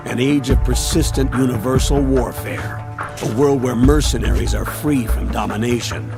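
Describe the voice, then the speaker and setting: A man playing a grave older military commander, giving solemn, measured briefings in a video game. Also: mid-range voice, close to an everyday voice. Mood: solemn, explaining. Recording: loud music behind the voice.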